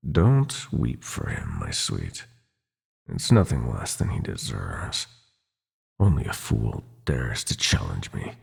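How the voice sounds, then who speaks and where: Sinister prince of nightmares: extremely low, gravel-throated, taunting and possessive, with theatrical swoops, for villain ASMR roleplay. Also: male voice, exaggerated voice. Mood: cruel, gloating. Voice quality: gravelly, husky. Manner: theatrical, taunting, drawn-out menacing purr with whispered phrases.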